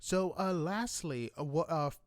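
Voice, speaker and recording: A man's voice: fairly high pitch, animated, measured pace, no echo. Casual male podcast host, dry close sound. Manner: informal, effusive fan-like enthusiasm. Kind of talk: casual interview chat.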